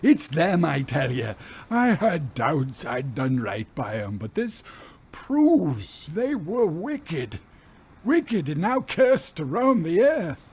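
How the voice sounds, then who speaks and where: A man's voice: a little high, very animated, takes it slowly, a little mellow, husky, pitched above the performer's own voice, full character voice. A man voicing a defiant, scornful old sea captain in a story, with indignant, archaic and emphatic speech. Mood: furious, defiant.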